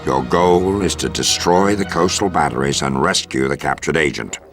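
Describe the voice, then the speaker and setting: An actor playing a stern, composed American army intelligence colonel, giving a formal, measured mission briefing in a video game. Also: male voice, a bass voice, a bit bright, soft background music. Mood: grave. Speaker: military officer giving a briefing.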